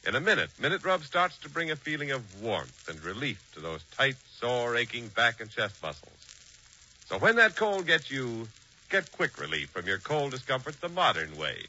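A man's voice: medium pitch, huge pitch swings, moderate pace, pushed and bright. A man announcing in a polished, emphatic 1940s radio style. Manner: polished, emphatic sales delivery. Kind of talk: 1940s radio advertising and scripted narration. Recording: dull, faintly noisy 1940s radio recording.

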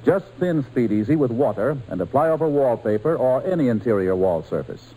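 A man's voice: medium pitch, big pitch swings, a little fast, dark tone, low-quality recording. A man reading a radio commercial as a polished 1940s announcer with a salesmanlike delivery. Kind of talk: 1940s radio advertising read.

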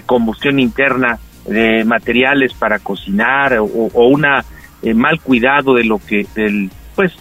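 Calm doctor explaining health. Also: male voice, mid-range voice, rough recording. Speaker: doctor giving health advice. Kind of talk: radio health segment guest.

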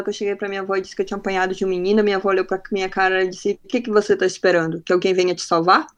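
A woman from northern Brazil with a faint northern Brazilian accent, a guest on a podcast who tells her stories in casual talk and acts out the people she quotes. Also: medium pitch. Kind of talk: casual interview chat.

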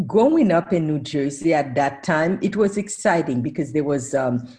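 A woman's voice: sits low, animated, normal speed, a little mellow. A woman in her forties, born in Haiti, speaking as a professor guest on a casual podcast, who laughs often and corrects herself as she goes.

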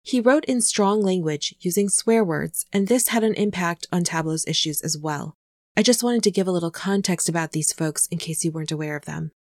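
A woman's voice: fairly low pitch, brisk, a little mellow. Friendly young American woman hosting a casual podcast chat. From United States. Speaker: podcast host.

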